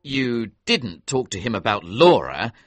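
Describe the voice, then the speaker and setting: A man putting on a flustered, high young gentleman. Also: very animated, a little slow, no echo. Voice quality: exclaiming, pleading. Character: earnest young gentleman, anxious and easily flustered.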